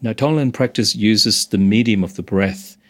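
Low-voiced meditation teacher from Hong Kong. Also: male voice, normal speed. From Hong Kong, moved to Australia as a teenager. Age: 50s or older. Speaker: meditation instructor, interview guest. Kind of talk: explanatory interview talk.

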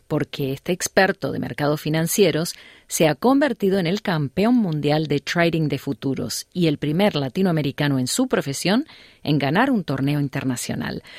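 A woman radio presenter reads a scripted news introduction clearly and calmly. The sound is close and dry. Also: deep voice, dry recording.

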